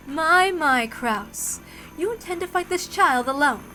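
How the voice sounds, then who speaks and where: Woman acting a gloating, haughty high-voiced witch. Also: pushed and bright, full character voice. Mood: mocking, condescending. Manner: haughty, mock-polite, drawn-out explaining with cackling laughter. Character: gloating villainous female witch Vergilion, mocking and condescending.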